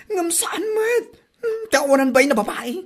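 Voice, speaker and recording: A nosy, excitable Ilocano woman next door , acted by a woman, in a comedy drama. Her high voice swoops widely through dramatic, scandalised exclamations with an Ilocano accent. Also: a little slow, heavily acted. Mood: scandalised, teasing. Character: nosy excitable female neighbour friend, easily scandalised and giggly.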